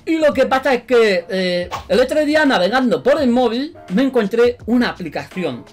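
Young Spanish YouTuber from Spain, high voice, animated and slangy, repeats himself, faint music underneath. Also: male voice. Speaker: gaming YouTuber. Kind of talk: excited gameplay commentary. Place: bedroom studio indoors.

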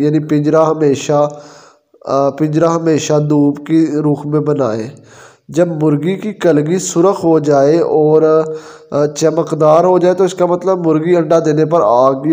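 A man with a Pakistani Urdu accent talks through poultry care in an instructional voice-over. His voice sits a little high but sounds mellow and dark. He explains step by step, often pausing on fillers. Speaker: poultry advice presenter.

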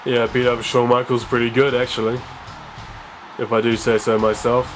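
A young man with a sore, slightly strained voice talks excitedly over a wrestling game he is playing. His chatty commentary sits at an ordinary middle pitch. Faint game music plays underneath. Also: normal speed. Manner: chatty, excitable, reads game dialogue aloud. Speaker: let's play gamer. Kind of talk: casual gameplay commentary, reading game text aloud.

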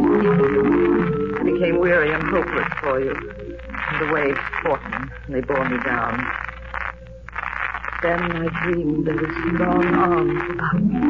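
A wistful young woman in love. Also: low-pitched. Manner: soft, dreamy, yearning delivery. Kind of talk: 1940s radio drama acting. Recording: muffled 1940s radio broadcast with music.

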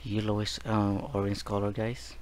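Male art instructor, low voice, unhurried delivery, faint hiss behind. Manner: slow, relaxed, repeats "guys" and "there", gentle reminders. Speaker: painting instructor. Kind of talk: real-time painting tutorial narration.

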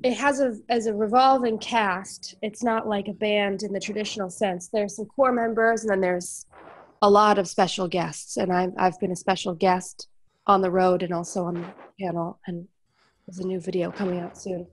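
A woman's voice: medium pitch, little pitch movement, moderate pace, slight background noise, poor audio. Congested woman chatting casually. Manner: chatty, with many fillers. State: congested from a cold. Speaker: musician guest. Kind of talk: casual discussion. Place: online community call.

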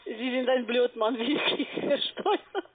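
A woman, speaking in a halting way as a telephone sales caller. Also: fairly high pitch. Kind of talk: phone sales talk. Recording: muffled narrowband phone line.

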